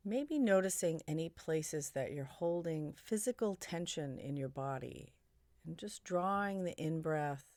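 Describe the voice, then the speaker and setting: A woman leading a guided meditation in a soothing, gently suggestive way, her phrases broken by long pauses. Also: low-pitched, dry recording, clean studio sound.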